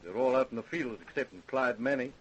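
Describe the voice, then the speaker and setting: An actor playing a callous plantation owner in a radio drama, gruff and self-justifying. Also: male voice, medium pitch, fairly quick, lo-fi sound, close to an everyday voice. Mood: guarded. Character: defensive, callous Southern plantation owner, villain. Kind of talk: old-time radio drama acting.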